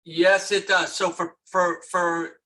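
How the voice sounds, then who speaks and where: A man's voice: high-pitched, level tone, slow, bright, forceful tone. A man with a New York accent, an attorney presenting on a webinar, talking with a wry tone and many fillers and restarts.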